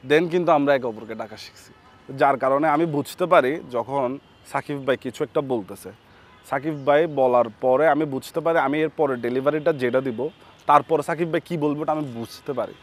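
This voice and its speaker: A young man with a Chattogram accent, a comedy content creator answering casually as an interview guest. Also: mid-range voice. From Chattogram, Bangladesh.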